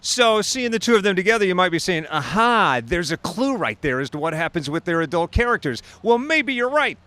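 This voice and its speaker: A man's voice: high voice, very animated, brisk, pushed and bright. A man hosting an entertainment show, interviewing actors and talking to the camera with jokey enthusiasm. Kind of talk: upbeat interviewer banter.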